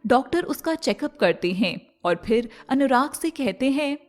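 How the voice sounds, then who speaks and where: A woman's voice: high-pitched, animated, a little slow, soft background music. A woman reading scripted storybook narration as the narrator of a moral tale.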